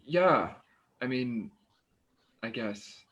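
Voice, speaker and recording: A man acts out an awkward, well-meaning guy in a read-through of a stage drama. His voice sits at a medium pitch and he speaks hesitantly, like everyday talk. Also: animated, extremely slow, a bit bright, husky, muffled recording, full character voice. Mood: hesitant, concerned. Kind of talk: staged play reading.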